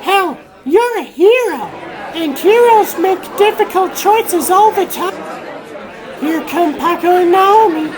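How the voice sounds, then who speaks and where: A man's voice: extremely high pitch, lively intonation, takes it slowly, a crowd in the background, full character voice, rough recording. A man voicing a blunt, loyal teenage girl in a comic dub, with a sassy, scolding manner. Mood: earnest.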